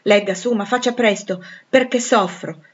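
A woman voicing a passionate, tormented gentleman in love, commanding and imploring, in a dramatic audiobook. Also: medium pitch, moderate pace, lo-fi sound, natural voice. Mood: urgent pleading. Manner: urgent, imploring, emphatic. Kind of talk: dramatic audiobook character dialogue.

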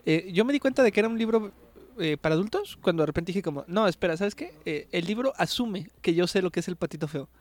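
Host type: high-pitched man, casual book talk, hedges his thoughts with tag questions. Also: lively intonation, fast. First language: Spanish. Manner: thinks aloud, tag questions after nearly every phrase. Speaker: book club podcast co-host. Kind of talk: casual literary discussion.

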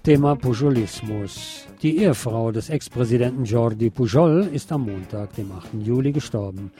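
A man presenting radio news as scripted commentary, speaking deliberately with a wry edge. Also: medium pitch, over music.

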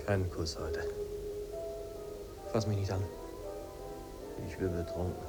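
A troubled husband in an old radio drama. His voice is deep, subdued and curt, with prominent music underneath. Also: fairly even intonation, measured pace. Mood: guarded, weary. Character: troubled husband, withdrawn, curt and guilt-laden. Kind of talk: 1950s German radio play acting.

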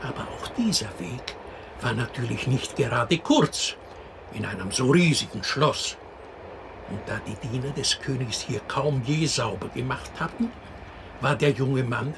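Wry radio-drama storyteller, unhurried and very expressive, clear background noise. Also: male voice, mid-range voice. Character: wry, unhurried male teller of an absurd fairy tale. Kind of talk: fairy-tale narration in a radio drama.

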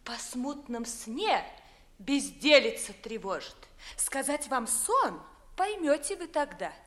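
A woman's voice: high voice, very animated, slow pace, a bit bright, heavily acted. A young woman playing a well-born, evasive daughter in a 1950s theatrical radio play, speaking verse in an affected, declamatory way.

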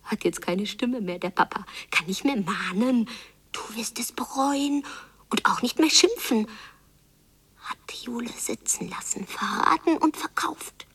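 An actress playing a wounded, secretive young daughter who longs and seethes in a radio drama, often hushed and drawing out her phrases. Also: on the high side, animated, measured pace, husky, exaggerated voice. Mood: secretive, vengeful. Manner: hushed, echoing repeated phrases, drawn out. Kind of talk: literary radio drama, whispered inner monologue.

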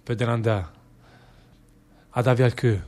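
A man's voice: mid-range voice, steady tone, takes it slowly, mellow, lightly acted. An actor playing a defiant male prisoner in a radio drama, with a northern Italian accent and sing-song dialect verse. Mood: wistful, defiant.

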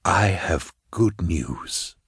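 A soft, whispery male prefect of an audiobook tale, acted by a man. He speaks slowly and smoothly with false fatherly kindness and quiet condescension. The voice is low and closely recorded, without room sound. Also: animated, no echo, clean studio sound, exaggerated voice. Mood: falsely kind. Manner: measured, unctuous, condescending. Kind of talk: audiobook character acting.

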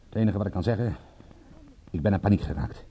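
An actor playing an insistent, brusque private detective in a radio drama, speaking in a terse, pressing way. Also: male voice, low-pitched, quick pace, warm tone, highs cut off, a little hiss or hum, close to an everyday voice. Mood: urgent, impatient.

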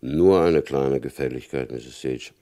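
An actor playing a stern, shrewd male FBI agent in a radio crime drama, speaking in a calm, probing, knowing way. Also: a bass voice, measured pace. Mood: coaxing.

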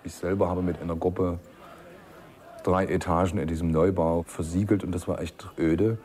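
A man's voice: low voice, flat delivery, normal speed, mellow. A man from East Germany giving a colloquial, detailed eyewitness account.

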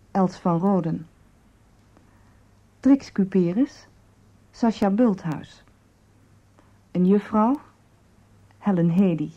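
A woman radio announcer reading scripted credits in a formal, measured manner. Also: mid-range voice, dark tone. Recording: dull band-limited radio recording.

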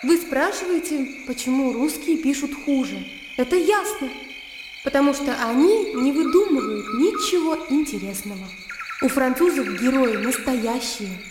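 An actress playing a naive, blunt young country gentlewoman in a Russian radio drama, opinionated and emotional. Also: high voice, animated, moderate pace, background noise. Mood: animated, opinionated. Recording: roomy long reverb with music behind.

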